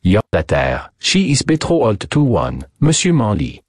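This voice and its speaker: A man voicing a theatrical, excitable French detective with a French accent, gallant manners and broken English in a story reading. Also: on the low side, a little slow, no echo, clean studio sound, full character voice. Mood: eager, polite.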